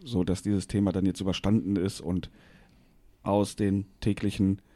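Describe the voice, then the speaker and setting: A man's voice: deep voice, level tone, normal speed, mellow. A man from Westphalia co-hosting a casual podcast chat, explaining things in a matter-of-fact way. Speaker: podcast co-host.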